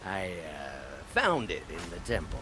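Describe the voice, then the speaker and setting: An actor playing a shameless, boozy beggar in a video game, talking in a folksy, wheedling way. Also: male voice, deep voice, huge pitch swings, measured pace, a crowd in the background, exaggerated voice. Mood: pleased, friendly. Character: shameless boozy male beggar craving ale. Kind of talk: video game character dialogue. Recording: clear background noise, busy game ambience.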